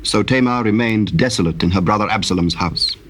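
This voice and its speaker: A man narrating a dramatized Bible, telling the story solemnly in measured, archaic English. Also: lowish voice, fairly bright tone.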